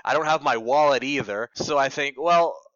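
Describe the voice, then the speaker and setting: A young Canadian man with a bright, forward voice tells stories casually in an interview. He is chatty and self-deprecating. The sound is close and dry but somewhat dull. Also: medium pitch, normal speed, no echo. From Ottawa, Canada. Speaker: radio reporter being interviewed. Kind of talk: casual conversational storytelling.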